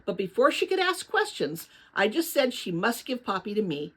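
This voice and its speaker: A woman's voice: medium pitch, very animated, moderate pace, slightly rough. A woman voicing an earnest, anxious and determined young girl in a storybook, with an urgent, emphatic delivery.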